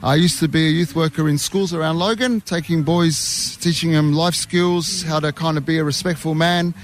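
A man's voice: on the high side, steady tone, moderate pace, slight background noise. Talkative man, rambling. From Armenian heritage. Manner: talkative, rambling, many fillers. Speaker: interview guest, youth worker. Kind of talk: casual chat. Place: outdoor community event.

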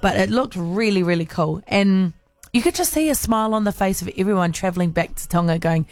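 A woman's voice: medium pitch, fairly quick, no room sound. Enthusiastic New woman radio host. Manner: enthusiastic, gushing shout-outs. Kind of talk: casual radio chat.

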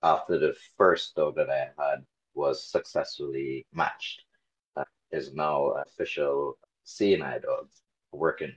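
A man in his forties from Trinidad and Tobago, talking casually as an interview guest, relaxed and a bit hesitant with many fillers. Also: rumbling low, a little slow, breathy edge, lo-fi sound. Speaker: interview guest, dog carer volunteer.

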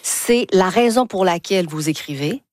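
A woman's voice: lowish voice, expressive, normal speed, darkish tone, no echo. Quebec french woman doing a book interview, gushing and emphatic, pretty dry close sound. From Quebec, Canada. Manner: effusive, emphatic. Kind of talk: literary interview conversation.

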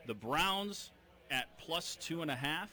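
Male radio co-host, bright and forceful, teasing banter, studio headset. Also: a little high, expressive, takes it slowly. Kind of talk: casual sports talk.

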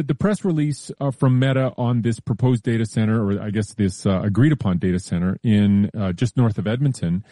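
A man explaining science news in a relaxed, careful way during a casual chat, with frequent hedges and restarts. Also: on the low side, no echo, clean studio sound. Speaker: science columnist.

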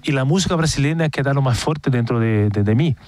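A man's voice: mid-range voice, brisk, darkish tone, no echo. A middle-aged man from Brazil with a Brazilian Portuguese accent, speaking as a radio guest in a casual interview, often repeating words while he searches for the next one.

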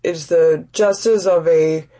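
A woman's voice: deep voice, steady tone, slow pace, warm tone. A woman from Denmark being interviewed, speaking reflectively and searching for her words in a conversational way. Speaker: interview guest, actress and writer.

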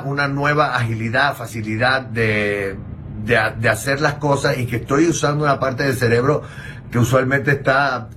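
A man's voice: medium pitch, fairly bright tone, a little hiss or hum. Puerto Rican man rambling with restarts. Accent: Puerto Rican Spanish. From Puerto Rico. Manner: rambling, many restarts and fillers. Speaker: interview guest. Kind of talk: casual chat.

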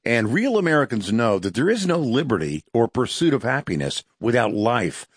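A man reading a scripted radio ad in an earnest, measured way. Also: mid-range voice, very animated, breathy edge, no room sound, studio quality. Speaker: ad announcer. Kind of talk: scripted ad read.

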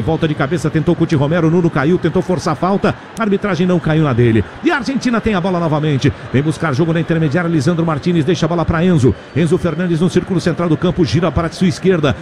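A Brazilian man narrating football at a racing clip, his voice sitting a little high and climbing toward shouts whenever play nears the goal. Faint noise hums under him. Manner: rapid, rising to shouts on attacks. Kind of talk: live football commentary.

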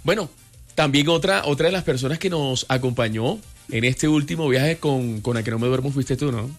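Teasing radio host. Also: male voice, mid-range voice, normal speed, soft background music. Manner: teasing, playful. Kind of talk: casual radio chat.

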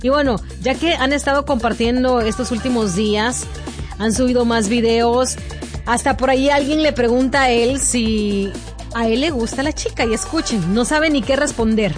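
A chatty woman hosts a gossip segment on the radio in a playful, teasing way. Her voice sits a bit high. Soft music plays underneath her. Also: moderate pace. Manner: chatty, playful, laughs often. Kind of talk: casual celebrity gossip chat.

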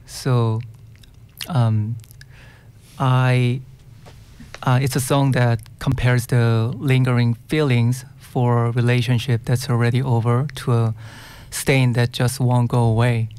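Slow, hesitant Korean-accented man. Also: mid-range voice, little pitch movement, a little mellow, clean tone. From South Korea. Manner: hesitant, searching for words in non-fluent English. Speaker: singer-songwriter guest. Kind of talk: casual interview answers.

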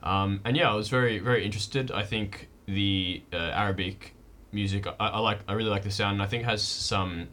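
A young man, a violinist, answering interview questions in a relaxed, hesitant way with many fillers. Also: on the low side, fairly quick, a little hiss or hum.

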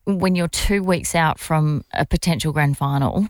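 Give me a low-pitched woman co-hosting radio, dry and close. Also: unhurried, no echo. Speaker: radio co-host. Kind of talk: casual radio chat.

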